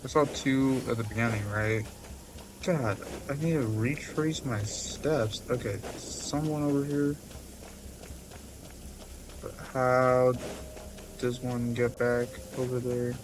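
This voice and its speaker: Guy streaming a game, mid pitched, chatting and reading text out, bit of background noise. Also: fairly even intonation, slow, long pauses. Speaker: gaming streamer. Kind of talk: casual gameplay commentary, reading game text aloud.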